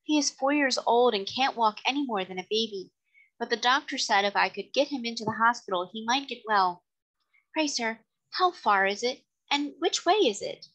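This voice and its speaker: A woman's voice: on the high side, expressive, moderate pace, fairly bright tone, pitched above the performer's own voice, rough recording. A woman voicing an anxious, humble young mother from the country, polite and pleading, in a storybook.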